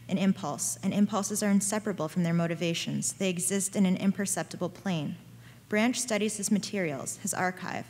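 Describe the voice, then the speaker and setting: A woman reading a prepared academic paper aloud at a conference, in a measured, even delivery of dense written prose. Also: medium pitch. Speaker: conference speaker. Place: conference hall. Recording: long reverb of a lecture hall.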